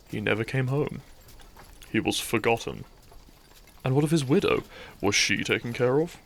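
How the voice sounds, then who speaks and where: A man playing a bitter, brooding thief in a scripted audio roleplay, speaking straight to the listener with mocking politeness and dramatic pauses. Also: on the low side, vocal fry, a little hiss or hum, exaggerated voice. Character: bitter young male thief, kidnapper, once a noble's childhood friend.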